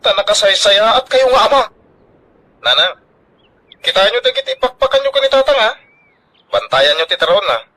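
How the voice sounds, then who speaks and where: An actor with an Ilocano accent playing a devoted, affectionate grown son, emotional and solicitous in a radio drama. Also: male voice, sits high, very animated, normal speed, pauses often, bright, forceful tone, heavily acted, poor audio. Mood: grateful, fussing. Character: devoted, affectionate grown son fussing over aging parents.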